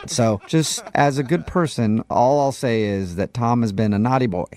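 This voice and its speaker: A man's voice: medium pitch, moderate pace, a little mellow, lightly acted. A man playing a chatty county court clerk on a prank phone call, casual, evasive and amused as he breaks awkward news. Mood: evasive, gossipy.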